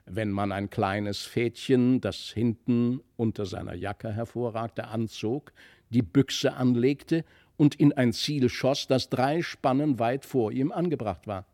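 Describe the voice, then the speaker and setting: A man's voice: medium pitch, darkish tone, no echo, studio quality. A man narrating a literary fairy tale expressively, as an audiobook reader.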